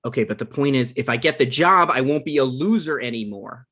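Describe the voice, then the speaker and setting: Man acting insecure guy in his thirties, lively pitch. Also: brisk. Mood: defensive. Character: insecure, defensive thirty-five-year-old aspiring TV comedy writer. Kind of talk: casual comedy script table read. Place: remote online table read over internet.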